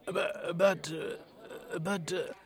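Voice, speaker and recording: A man voicing a frightened, grey-haired old English gentleman who pleads and stammers. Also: sits high, steady tone, very slow, pitched above the performer's own voice. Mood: fearful.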